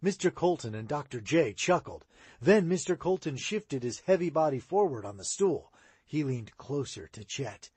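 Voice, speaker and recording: Lively-voiced man reading a children's book. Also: on the high side, moderate pace, breathy edge, no echo. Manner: expressive read-aloud, rising and falling. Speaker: audiobook reader. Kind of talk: children's audiobook narration.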